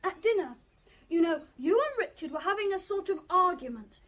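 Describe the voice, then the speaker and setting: A very high-pitched, childlike English youngster. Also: female voice, lively intonation, moderate pace, a bit bright. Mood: insistent. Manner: eager, blurting, childlike. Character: excitable, childlike, tactless young member of English household. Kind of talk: radio drama acting.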